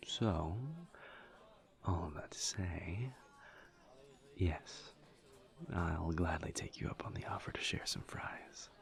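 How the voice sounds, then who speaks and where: A man performs a gentle incubus in an intimate audio roleplay. His voice sits very low and breathy, often close to a whisper, with a heavy fry. He talks slowly and evenly, choosing words with tender, reserved sincerity. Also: full character voice. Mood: relaxed, amused. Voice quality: breathy, soft, near-whispered, gravelly low voice. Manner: slow, halting, tender and sincere. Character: gentle, earnest, reserved male incubus demon friend. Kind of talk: intimate audio roleplay, one side of a conversation.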